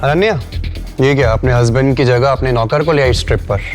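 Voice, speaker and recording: A man's voice: mid-range voice, brisk, faint music behind, lightly acted. A man playing an arrogant, spoiled young rich bully in an audio drama, taunting and sneering, dropping in English words. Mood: mocking. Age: young adult.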